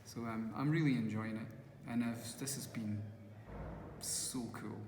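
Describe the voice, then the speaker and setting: A man's voice: lowish voice, steady tone, a little slow, darkish tone, a little hiss or hum. A Scottish man with a Glasgow accent, a musician turned first-time filmmaker, giving rambling interview answers full of restarts. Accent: Glaswegian. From Scotland. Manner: rambling, with many restarts and acted quotes. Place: festival venue. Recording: echoey hall, faint ambience.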